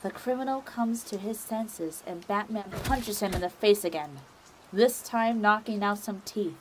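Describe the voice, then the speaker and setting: A woman's voice: mid-range voice, unhurried, a little hiss or hum, poor audio. A woman reading scripted narration for a dramatic audio story.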